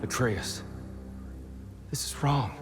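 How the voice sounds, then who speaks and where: An actor playing a weary, guilt-ridden war god in disguise in a video game cutscene, speaking in a measured, grave way and arguing against war. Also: male voice, medium pitch, expressive, in a large hall, music in the background.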